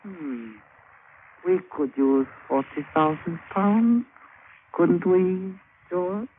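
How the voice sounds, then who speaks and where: A man's voice: sits high, expressive, phone-quality sound, exaggerated voice. An actor playing a sly, jovial, scheming island witch doctor who teases in broken English in 1950s radio drama style. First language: a language of Papua. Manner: broken English, teasing, unhurried. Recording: thin, hissy old radio broadcast.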